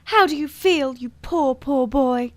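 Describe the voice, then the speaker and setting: A woman's voice: sits high, a little slow. Woman playing a flustered prim nurse.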